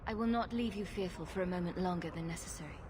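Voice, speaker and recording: An actress playing a confident, sardonic adventurer heroine in a video game. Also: female voice, fairly low pitch, fast talker, lightly acted. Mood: reassuring. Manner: wry, confident. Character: self-assured, wry adventurer woman, helpful but sardonic. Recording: noisy game capture with ambience.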